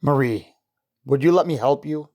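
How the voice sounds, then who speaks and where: A woman acts out a devoted scientist husband in a vintage-style radio play. The voice sits low, with a fond, encouraging manner. He is proud of his wife and teases her gently. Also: normal speed. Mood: proud, tender. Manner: tender, encouraging, gently teasing. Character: affectionate, proud scientist husband, adult man. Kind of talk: old-time radio drama acting.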